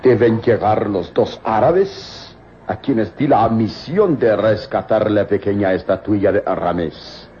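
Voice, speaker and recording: An actor playing a huge, brutal, gloating villain in an old-time radio serial, with a theatrical, imperious and contemptuous delivery. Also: male voice, fairly low pitch, takes it slowly, warm tone. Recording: muffled, noisy old radio recording.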